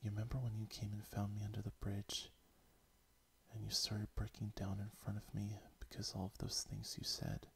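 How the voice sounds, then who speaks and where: A man whispering the lines of a caring male fox, soft and gentle. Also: lowish voice, monotone, normal speed, vocal fry, slight background noise.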